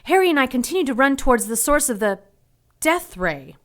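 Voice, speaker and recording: A woman's voice: high voice, big pitch swings, brisk. An actress playing a plucky, anxious 1940s young woman who narrates her own adventure in an audio drama, earnest and dramatic. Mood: anxious narration.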